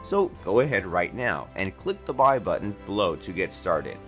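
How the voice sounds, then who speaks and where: Lively low-voiced man narrating a sales video. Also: normal speed. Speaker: promotional voice-over narrator. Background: music.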